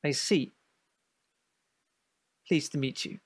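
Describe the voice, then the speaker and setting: A man's voice: a little high, slow pace, breathy edge, dry recording. A man playing a flirtatious, smarmy would-be investor with a suave manner in an audio drama. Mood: polite, sceptical.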